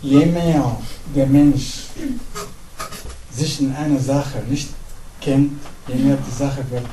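Slow, earnest man with an Arabic accent. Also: medium pitch, fairly even intonation, mellow. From Syria. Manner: earnest, halting, with pauses and fillers. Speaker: pastor. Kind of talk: evening talk to a congregation. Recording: echoey hall, distant mic, faint noise.

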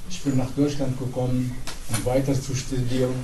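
A middle-aged man from Syria with an Arabic accent, a pastor giving an earnest, halting evening talk to a congregation. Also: mid-range voice, little pitch movement. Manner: earnest, halting, with pauses and fillers. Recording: echoey hall, distant mic, faint noise.

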